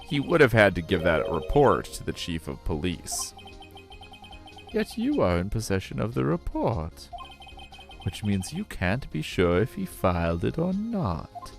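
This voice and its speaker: A man doing a determined young defense lawyer in a video game, firm and deliberate as he lays out his case. The voice is very low, yet it moves lively in pitch. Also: creaky, faint music behind, exaggerated voice. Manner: firm, deliberate courtroom delivery. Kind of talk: fan voice-over of a game.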